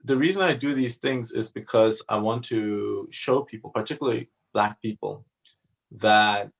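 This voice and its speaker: Man, gravelly low voice, distant on a video call, halting with many fillers. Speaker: panel guest, adventurer. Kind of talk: casual panel talk.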